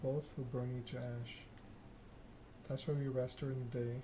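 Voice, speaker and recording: A man's voice: medium pitch, little pitch movement, normal speed, background noise. A man doing an ASMR read-aloud of a narrative game, occasionally stumbling over the written text. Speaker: gaming channel host.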